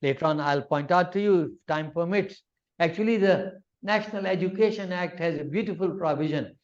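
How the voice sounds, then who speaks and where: A man's voice: high-pitched, brisk, muffled recording. A man whose first language is Urdu, lecturing on law in an explanatory way with examples and frequent fillers. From Malaysia. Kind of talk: academic lecture.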